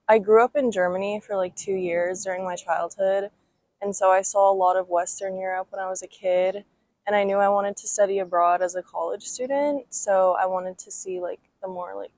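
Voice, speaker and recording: A young American college student speaks casually, with many "like" fillers, as she answers interview questions. Her voice is mid-pitched. The sound is close and dry. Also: darkish tone, no echo. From United States. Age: young adult.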